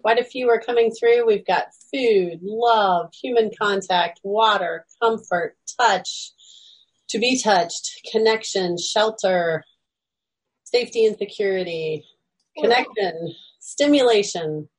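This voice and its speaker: Co-host, woman, slow and steady, reads the chat list aloud on a call. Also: mid-range voice, slightly rough, poor audio. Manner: lists items one by one. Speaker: co-host reading out chat responses.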